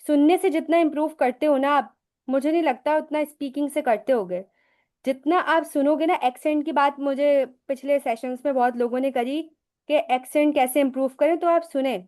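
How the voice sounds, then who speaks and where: A woman's voice: high-pitched, darkish tone. A woman hosting a live English lesson, explaining in a chatty way with rhetorical questions and personal examples, often mixing English phrases into her talk. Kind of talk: casual live teaching talk.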